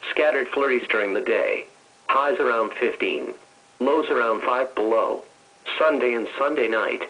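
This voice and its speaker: A man's voice: medium pitch, fairly bright tone, poor audio. A synthetic American man's voice reading a scripted weather forecast in a formal, impersonal announcing manner. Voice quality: synthetic text-to-speech voice. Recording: weather radio broadcast reception.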